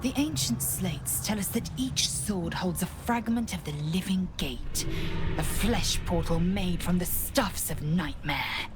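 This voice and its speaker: A woman's voice: lowish voice, lively intonation. A woman playing a fierce, devout githyanki warrior in a video game, speaking formally and with reverence. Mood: grave, instructive. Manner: solemn, measured, formal, reverent. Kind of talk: dramatic video game acting. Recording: music behind the voice.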